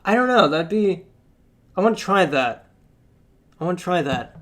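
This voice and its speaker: A man's voice: high voice, lively intonation, normal speed, a bit bright. A young man chatting casually about a mobile game, rambling with lots of fillers while his voice is going out. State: losing his voice.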